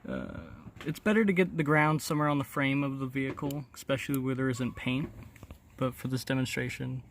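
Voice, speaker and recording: A man's voice: medium pitch, fairly even intonation, fairly quick. Casual man explaining over noticeable noise. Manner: informal, step-by-step explaining. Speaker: host of a DIY installation demonstration. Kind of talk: casual hands-on tutorial narration.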